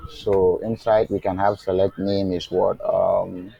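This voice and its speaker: A man's voice: sits low, level tone, gravelly, warm tone, breathy edge, highs cut off. A man teaching web development live online, who often asks the class "what?" and checks whether they are following. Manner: asks rhetorical "what?" questions and lets the class fill in the answer; slow and deliberate, with fillers and restarts. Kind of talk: live online coding lesson. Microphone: remote class, voice faint at times.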